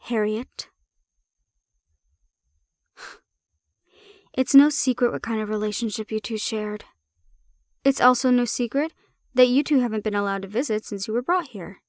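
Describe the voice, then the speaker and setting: A woman's voice: on the high side, unhurried, long pauses, dry recording, clean studio sound. A woman playing a cocky, unafraid female handler in an audio roleplay, with a blunt, teasing, assertive manner. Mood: firm, challenging. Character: bold, cocky non-human female handler, sardonic and unafraid.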